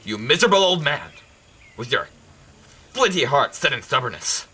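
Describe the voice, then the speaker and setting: A man's voice: medium pitch, big pitch swings, normal speed, bright, forceful tone, husky, full character voice. A man playing a proud, suspicious, commanding king in an amateur Greek tragedy, speaking in formal declamation. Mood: angry, suspicious.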